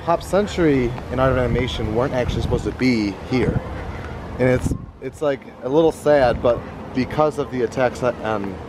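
A man's voice: medium pitch, a little fast. An enthusiastic American man in his twenties chatting and rambling casually as a travel vlogger. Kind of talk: casual vlog chat. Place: walking around a resort, mostly outdoors. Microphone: handheld camera at arm's length. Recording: very noisy outdoor resort crowd and traffic.

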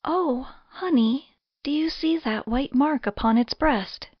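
A woman playing a sweet, affectionate wife who dotes on pets, speaking gently in an audio drama. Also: high voice, slow pace, no echo, highs cut off. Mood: tender, curious. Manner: gentle, doting.

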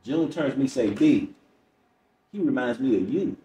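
A man's voice: medium pitch, animated, normal speed, warm tone. A middle-aged American man from Chicago, a comedian telling stories conversationally as an interview guest, replaying dialogue as he goes. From Chicago, United States. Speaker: stand-up comedian, podcast guest. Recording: roomy, distant mic, faint noise.

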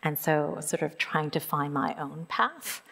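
A low-pitched, gravelly-voiced middle-aged woman, her first language Korean, muses in a thoughtful, searching way with frequent pauses and restarts. She speaks into a headset mic on a lecture stage. A long hall reverb trails behind her words. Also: expressive, a bit bright. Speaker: artist interviewed on stage. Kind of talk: reflective onstage conversation.

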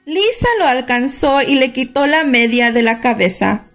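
A woman reading a children's picture book aloud in a slow, expressive storytelling manner. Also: high voice, faint music behind. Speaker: storybook reader.

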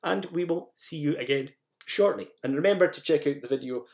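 A man's voice: on the high side, a little fast, a little mellow. A man presenting a language lesson in an informal, instructional manner, guiding learners through slides and examples.

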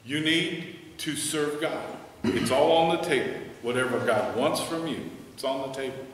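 A middle-aged American man, a pastor preaching earnestly from a church pulpit, then leading a hushed prayer. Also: mid-range voice, normal speed, a little hiss or hum. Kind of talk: sermon and altar call. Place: church sanctuary, standing at a pulpit. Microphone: headset mic. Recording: echoey church hall, from a distance.

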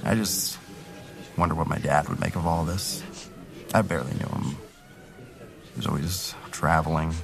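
A man's voice: rumbling low, moderate pace, creaky, long pauses, crowd noise. An actor playing a wry, earnest city councilman who is wounded, in an audio drama. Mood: reflective. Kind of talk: full-cast audio drama acting.

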